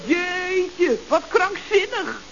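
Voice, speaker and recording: An actress playing an airy, excitable, naive woman in a 1970s Dutch radio comedy, with a Netherlands accent. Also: very high-pitched, very slow, exaggerated voice. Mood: excited, curious. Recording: dull, hissy old radio recording.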